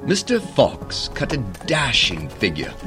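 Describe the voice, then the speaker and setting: Theatrical, swooping dashing suitor. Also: male voice, mid-range voice, huge pitch swings, unhurried. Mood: grand, admiring. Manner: grandiose, flowery. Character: storyteller and dashing, flowery, smooth-talking suitor. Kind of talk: theatrical radio drama acting. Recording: music behind the voice.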